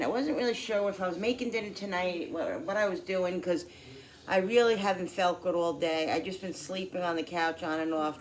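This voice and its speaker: A woman's voice: fairly low pitch, slight background noise, poor audio. A middle-aged woman with a cold, chatting casually and rambling with many fillers while she cooks in her kitchen. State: congested with a cold. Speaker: cooking vlogger. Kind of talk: casual chat while cooking. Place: home kitchen.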